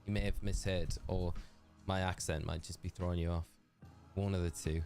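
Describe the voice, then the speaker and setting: A man's voice: low-pitched, fairly even intonation, normal speed, vocal fry, darkish tone, faint music behind. A man with a Geordie accent chatting casually and rambling on a live game stream, talking to his chat.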